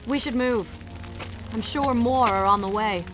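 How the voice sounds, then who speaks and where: An actress playing a curious young princess companion in a video game cutscene, speaking in a poised, gently teasing way. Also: female voice, a little high, measured pace, music in the background, natural voice.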